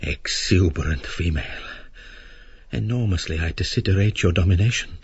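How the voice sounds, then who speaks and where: A man playing a meek, submissive middle-aged character in an audiobook drama, speaking in florid, pompously wordy, halting phrases. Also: low voice, slightly rough, no room sound, dull audio, exaggerated voice. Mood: cowed, yearning.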